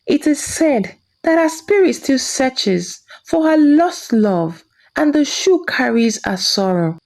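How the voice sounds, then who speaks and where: A woman's voice: high-pitched, sing-song, slow, creaky, darkish tone. A woman narrating a scripted folktale as a storyteller.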